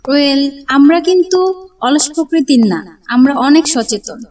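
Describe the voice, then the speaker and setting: Woman playing an eager high-voiced little schoolgirl. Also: expressive, normal speed, darkish tone, recorded from a distance. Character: eager little schoolgirl, concerned young campaigner. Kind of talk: cartoon dialogue.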